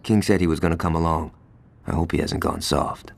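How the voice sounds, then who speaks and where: A man's voice: sits low, steady tone, brisk, creaky. An actor playing a hot-headed young male gang member in a video game, brash, sarcastic and spoiling for a fight. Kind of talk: video game cutscene acting.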